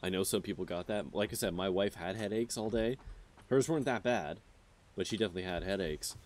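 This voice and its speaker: A man's voice: sits low, brisk. A man in his thirties streaming a game, chatting casually with his viewers and rambling with lots of fillers. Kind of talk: casual chat with chat while gaming.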